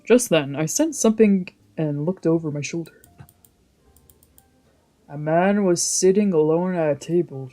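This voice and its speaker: A woman's voice: deep voice, mellow. A woman streamer reading visual novel narration aloud on a let's play. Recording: music behind the voice.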